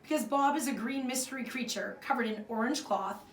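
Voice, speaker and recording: An expressive woman reads a children's storybook aloud in the manner of a primary school teacher. The sound is roomy and a little distant from the mic, with faint noise behind her. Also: fairly high pitch, fairly quick. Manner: expressive, acts out the dialogue. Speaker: fourth grade teacher reading aloud.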